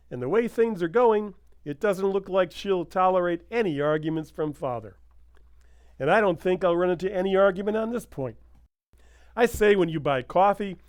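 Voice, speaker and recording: An old-time radio sponsor pitchman, acted by a man, earnest and persuasive. His voice is high and crisp, recorded dry, with emphatic salesman's patter. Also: no room sound.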